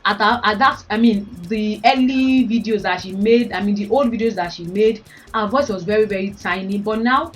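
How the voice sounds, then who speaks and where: A woman in her thirties with a Nigerian accent and a fairly deep voice, chatting frankly to her viewers. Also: normal speed. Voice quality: deeper voice since having babies. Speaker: vlogger. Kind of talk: casual chat to camera. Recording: voice a bit far from mic, faint music.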